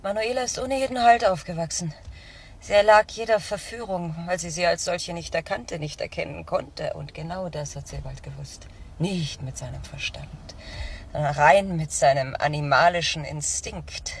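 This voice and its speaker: An actress playing a fervently protective care worker in a crime radio play, earnest and intense. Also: female voice, lowish voice, normal speed, distant mic, a little hiss or hum, close to an everyday voice. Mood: intense, impassioned. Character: fervently devoted female care worker protecting a troubled girl. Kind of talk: German crime drama dialogue.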